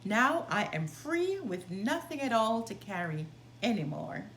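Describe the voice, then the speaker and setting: Woman voicing an eager, high-pitched young lad. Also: big pitch swings, measured pace, a bit bright, full character voice. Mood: happy relief. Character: Hans, cheerful, naive, eager young man. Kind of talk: read-aloud storybook character voice. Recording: roomy, with clear background noise.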